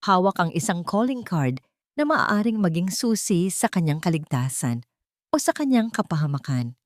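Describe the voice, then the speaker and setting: A woman narrating a romance story in a dramatic, scripted style with deliberate, suspenseful phrasing. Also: on the low side, big pitch swings, dry recording, clean studio sound.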